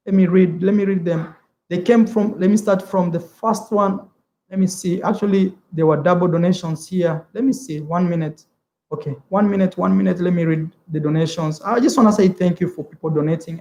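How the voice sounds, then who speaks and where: A man's voice: sits high, warm tone, reverberant. A young man from East Africa whose first language is Swahili, chatting casually on a travel livestream, rambling, repeating words and calling the viewer "man". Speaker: travel vlogger.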